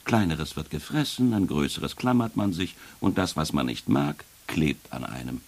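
A wry, cynical conjurer in a radio drama, very low and gravelly. The delivery is offhand and philosophical, swinging freely in pitch. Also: male voice, big pitch swings, moderate pace, exaggerated voice. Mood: wry musing. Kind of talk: radio play acting, dialogue.

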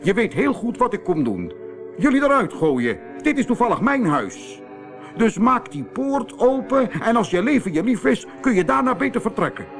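A man's voice: high voice, big pitch swings, moderate pace, soft background music, pitched above the performer's own voice. A man voicing a proud, rash male toad in a storybook, blustering and declamatory. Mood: angry, defiant.

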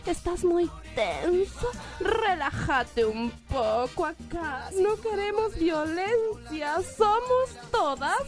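An actress playing a brazen, wheedling brothel madam in satirical radio theatre, with an Argentine accent and a familiar, cajoling drawl. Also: female voice, extremely high pitch, animated, slow pace, few pauses, music in the background, full character voice. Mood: cajoling, defiant.

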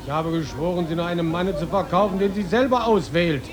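A man's voice: high voice, fairly quick, crowd noise, close to an everyday voice. A man playing a firm, formal slave owner at a market in a 1950s radio drama.

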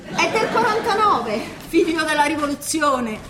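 An actress playing an anguished, determined middle-aged countess with a Roman accent in a theatrical radio drama. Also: female voice, sits high, big pitch swings, measured pace, reverberant, a loud crowd, very noisy. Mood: tender, nostalgic. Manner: pleading, insistent. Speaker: stage actress.

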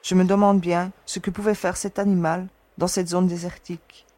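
A woman voices a resolute young explorer on an alien world. She reasons aloud in a calm, commanding way, dry and close to the mic. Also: fairly low pitch, normal speed, dry recording, lightly acted. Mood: steady, reasoning. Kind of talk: audio drama acting.

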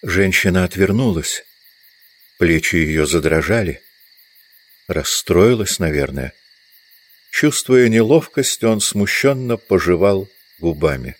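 A man's voice: low-pitched, animated, takes it slowly, pauses often, no echo. A man reading scripted literary narration as the narrator of an audio drama.

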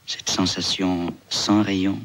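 A man's voice: deep voice, little pitch movement, slow pace, fairly bright tone, heavily acted, low-quality recording. A man reading literary prose as a restrained narrator in a radio play.

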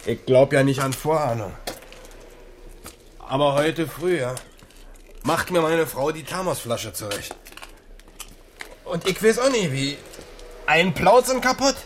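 Shaken, high-voiced trucker. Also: male voice, expressive, measured pace, pauses often. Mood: shaken, brooding. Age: middle-aged. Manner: colloquial, agitated, exclamatory. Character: shaken middle-aged long-haul trucker, defensive and plainspoken. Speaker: truck driver. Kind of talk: radio crime drama acting. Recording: noisy 1980s radio play recording.

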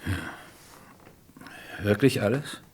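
An actor playing an insistent, methodical police inspector in a radio crime drama, questioning formally and probingly. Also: male voice, fairly low pitch, slow pace, husky.

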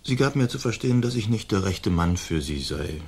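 A man's voice: lowish voice, lively intonation, brisk, gravelly, a little mellow, slightly rough, heavily acted. An actor in a German radio play, playing a languid, dutiful young nobleman who talks in a formal, plaintive way. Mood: reluctant. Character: languid young nobleman, peace-loving, dutiful, weary son.

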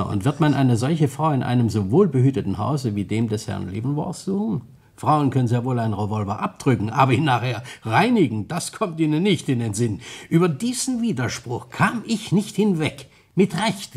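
Smug shrewd detective in a radio crime play, bit husky, formal and deliberate. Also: male voice, medium pitch, big pitch swings, a little mellow, heavily acted. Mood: lecturing. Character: shrewd, self-satisfied male police detective, courteous but commanding.

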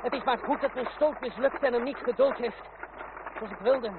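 Casting: ironic, guarded young French gentleman, fairly high voice, theatrical radio drama delivery, tinny old recording. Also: moderate pace, background noise. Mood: bitter irony. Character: sardonic, cool young Frenchman hiding his feelings. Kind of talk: radio play acting.

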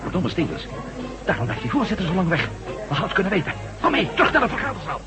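A lively ex-astronaut reporter hero. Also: male voice, high voice, fast, music in the background. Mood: wary. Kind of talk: 1970s radio drama acting.

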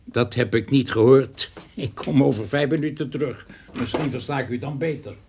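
An actor in a Dutch radio play playing a shrewd, patient narcotics investigator who speaks formally and deliberately. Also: male voice, medium pitch, a little mellow, a little hiss or hum, lightly acted. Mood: calmly coercive. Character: shrewd, patient male police narcotics investigator, calmly coercive. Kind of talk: Dutch radio drama acting.

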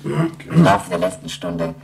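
A woman's voice: rumbling low, sing-song, slow pace, vocal fry, heavy background noise, heavily acted. A woman playing an emotionless lunar station computer in a radio play, reciting formal status reports with precise diction. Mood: flat, procedural.

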